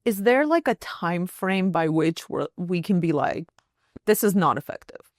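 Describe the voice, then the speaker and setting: A woman with a slightly low voice hosts an interview. She talks in a loose, chatty way, full of casual fillers. The sound is dry and close. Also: lively intonation, a little slow, no room sound. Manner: casual, many likes and laughs. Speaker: podcast host.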